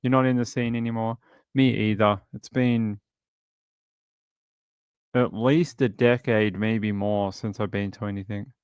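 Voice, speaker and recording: Australian man, livestream host, casual rambling chat, smooth clear voice, very dry close sound. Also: fairly low pitch, steady tone, unhurried, long pauses, a little mellow, no room sound. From Sydney, Australia. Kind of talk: casual livestream chat, reading out viewer comments.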